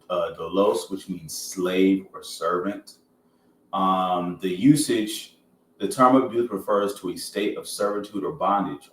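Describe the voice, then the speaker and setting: A man with a deep American voice hosts a podcast in loose, informal language, sometimes reading out reference text. The room echoes, and he sits back from the mic with faint noise around him. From Georgia, United States. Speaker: podcast host. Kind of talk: casual podcast talk with some reading aloud from a reference. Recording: roomy echo, distant mic, faint noise.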